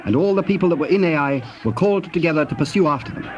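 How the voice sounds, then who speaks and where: A man narrating scripture in a solemn, measured and formal manner. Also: on the high side, animated, a little mellow, close to an everyday voice. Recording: dull, noisy old cassette tape.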